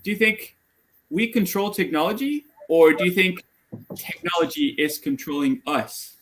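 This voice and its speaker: A man's voice: sits high, moderate pace, a bit bright, slight background noise. A young man from Argentina giving a casual group presentation on a video call, talking in an upbeat way with many fillers. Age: young adult. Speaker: student presenter.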